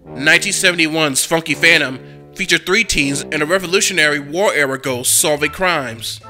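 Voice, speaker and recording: A man's voice: on the high side, moderate pace, sharp tone, faint music behind. A man narrating a cartoon history documentary in a scripted, enthusiastic fan's manner. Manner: enthusiastic, fan-like.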